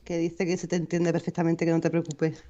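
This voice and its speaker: A woman's voice: lowish voice, completely flat delivery, brisk, warm tone, muffled recording. A woman co-hosting a casual livestream chat, reading out viewer comments with small hesitations.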